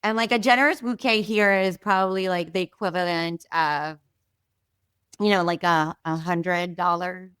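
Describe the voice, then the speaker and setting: A woman guest telling a story in a casual, animated way, full of fillers and asides, from Israel. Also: fairly low pitch, normal speed, clean tone, no echo, clean studio sound. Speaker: podcast guest.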